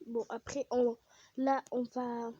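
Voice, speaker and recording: A woman's voice: on the high side, flat delivery, takes it slowly, warm tone, highs cut off. A young woman with a cold chats casually and rambles with many fillers while she plays a game. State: has a cold, coughing. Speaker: gaming vlogger.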